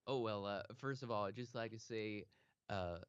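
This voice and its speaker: A man's voice: on the low side, clean tone, no room sound, dull audio, natural voice. Man playing a rambling self-proud author. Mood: boastful. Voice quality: rambling, oblivious, halting with many fillers. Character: rambling proud male author calling a radio show. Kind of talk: improvised comedy sketch.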